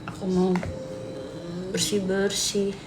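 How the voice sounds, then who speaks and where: A woman's voice: mid-range voice, monotone, slow, background noise. A woman chats casually on a late-night livestream, rambling in slang and answering comments as she goes. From Sidoarjo, East Java, Indonesia. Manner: chatty, slangy, rambling, reading and answering viewer comments aloud. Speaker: live streamer. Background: music.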